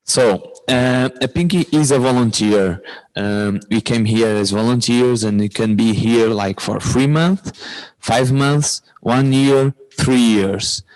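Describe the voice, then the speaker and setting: Halting Portuguese man, mid-range voice. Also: medium pitch, a little mellow, poor audio. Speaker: short-term volunteer. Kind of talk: informal explanation on a webinar panel.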